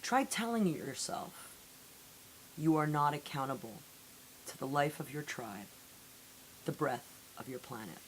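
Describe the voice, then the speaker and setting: A woman reading poetry aloud in a solemn, deliberate way with measured phrasing and dramatic pauses, on an amateur recording. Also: sits low, lively intonation, rough recording. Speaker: poetry reader. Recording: amateur recording with audible hiss.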